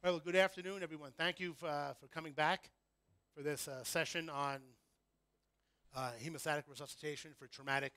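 A middle-aged American man speaks to a conference as a physician lecturer. His voice sits a little high for a man, and the sound is dry and close. He talks informally and haltingly, correcting himself as he goes. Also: fairly even intonation, bright, forceful tone, no echo. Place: conference room before an audience.

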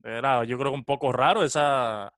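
A man's voice: mid-range voice, moderate pace, fairly bright tone, dry recording, clean studio sound. A man, hosting a casual chat on a video call. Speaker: interviewer, host.

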